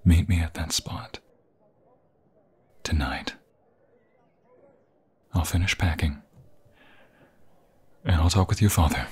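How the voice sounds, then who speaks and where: A lovestruck vampire fiancé , acted by a man, murmurs in a deep, very husky and breathy voice. He speaks slowly and haltingly, with sentimental, flowery tenderness, often slipping toward a whisper, as in an intimate ASMR boyfriend roleplay. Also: darkish tone, heavily acted. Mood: soft, hopeful.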